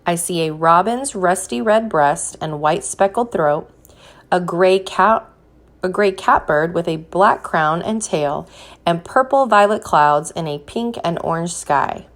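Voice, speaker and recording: A woman reading a picture book aloud like a teacher, pausing to put questions to young listeners and encourage them. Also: on the low side, expressive. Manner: slow, deliberate, encouraging prompts.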